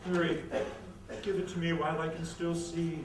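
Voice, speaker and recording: An actor playing a melodramatic, scheming, grandiose theater producer who fakes his deathbed, hammy and drawn-out, in a staged comedy. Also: a little high, level tone, far from the mic, natural voice. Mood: feigned dying. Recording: roomy stage recording from afar, faint noise.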